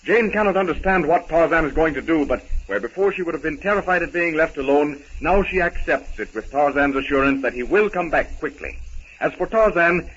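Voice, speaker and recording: A man's voice: on the high side, lively intonation, fairly quick, fairly bright tone, husky, lo-fi sound. A man giving florid, dramatic scripted narration in the manner of a 1930s radio adventure serial. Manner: dramatic, declamatory storytelling. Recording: scratchy, band-limited 1930s radio recording.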